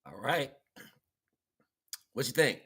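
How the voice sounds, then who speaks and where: A man's voice: medium pitch, very animated, takes it slowly, fairly bright tone, slightly rough. A man talking casually as a livestream host, in slangy, informal speech, often checking in with his listeners. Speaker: livestream host and hobby musician.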